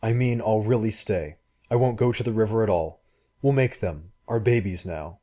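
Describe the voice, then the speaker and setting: A man voicing a desperate, angry young man who shouts and bellows. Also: lowish voice, moderate pace, natural voice. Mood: shaken. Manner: shouting across surf.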